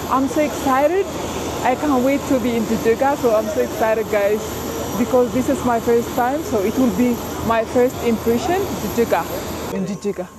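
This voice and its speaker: A woman's voice: on the high side, moderate pace. A young woman with an Ethiopian accent, chatting casually to camera as a travel vlogger. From Addis Ababa, Ethiopia. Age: young adult. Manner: informal, addresses viewers as guys. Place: busy airport, tarmac and plane cabin. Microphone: clip-on mic, selfie camera at arm's length. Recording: noisy airport and plane cabin ambience.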